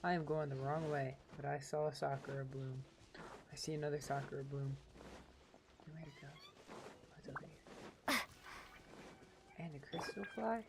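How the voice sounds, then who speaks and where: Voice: medium pitch, moderate pace, gravelly, pauses often, noisy background. A game streamer chatting casually and offhandedly to themselves and viewers while playing. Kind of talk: casual game livestream chat.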